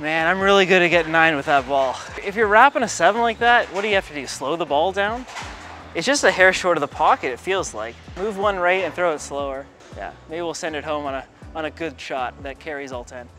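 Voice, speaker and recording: A man's voice: fairly high pitch, a little fast, pushed and bright, faint music behind. Chatty man reviewing bowling balls. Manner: chatty, muttering play-by-play between throws. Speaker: pro shop ball reviewer. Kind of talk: casual review while bowling. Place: large bowling alley, indoors.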